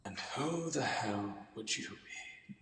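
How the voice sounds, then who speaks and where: A man's voice: medium pitch, animated, slow, noisy background. A man playing a self-assured new doctor with a wry, smug manner in a radio drama. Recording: echoey, distant from mic, music underneath.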